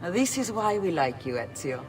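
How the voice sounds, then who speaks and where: Animated, flirty Italian woman. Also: fairly low pitch, moderate pace, distant mic, faint music behind. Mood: flirtatious. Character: flirtatious, playful female Italian courtesan thief. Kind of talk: dramatic video game acting.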